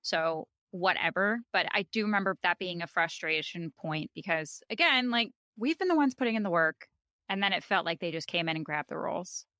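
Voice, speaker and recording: A woman's voice: lowish voice, lively intonation, brisk, bright, forceful tone, dry recording, studio quality. A young woman co-hosting a casual TV recap chat, telling anecdotes emphatically in very informal speech. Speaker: podcast co-host.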